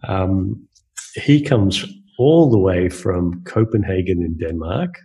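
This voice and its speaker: A man's voice: low voice, lively intonation, takes it slowly, warm tone, breathy edge, low-quality recording. A man hosting a podcast, introducing a guest in a loose, hesitant way with many fillers and restarts. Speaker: podcast host. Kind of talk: casual podcast chat.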